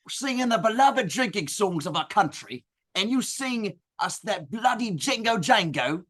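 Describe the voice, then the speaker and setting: A woman's voice: medium pitch, animated, normal speed, fairly bright tone, pitched below the performer's own voice. Woman doing a brash rowdy Aussie bloke. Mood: aggrieved. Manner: confrontational, taunting. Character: brash, aggressive Australian man, rowdy drinker.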